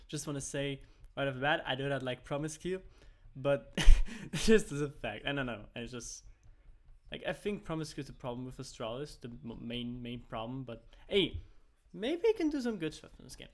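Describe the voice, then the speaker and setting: A man's voice: medium pitch, lively intonation, normal speed. German-native young man casting games casually. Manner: rambling, heavy use of "like" and restarts. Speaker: amateur esports caster. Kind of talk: casual solo esports commentary.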